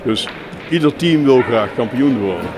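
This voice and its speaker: A man explaining his sport in an informal interview, with halting, filler-strewn delivery. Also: mid-range voice, expressive. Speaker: jeu de boules club representative being interviewed. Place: busy sports hall, on location. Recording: noisy venue with clear background ambience.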